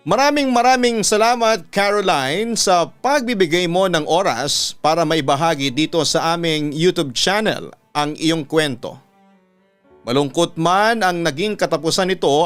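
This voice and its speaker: A man reads listener letters as a radio storytelling host, measured and earnest, with deliberate dramatic pauses. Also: fairly high pitch, fairly bright tone, smooth. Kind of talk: dramatic reading of listener letters, scripted narration. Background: background music.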